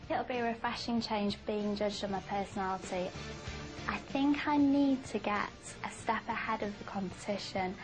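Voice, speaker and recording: A woman's voice: medium pitch, rough recording. A woman in her twenties from England, chatting confidently as a dating show contestant. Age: 20s. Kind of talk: interview confessional. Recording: music underneath.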